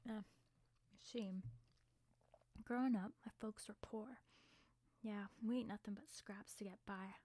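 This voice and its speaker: A woman voicing a brash young female gymnast in a casual, slangy, breathy whisper for an ASMR roleplay. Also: medium pitch, steady tone, measured pace, pauses often, mellow, no echo, natural voice. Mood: candid, confident.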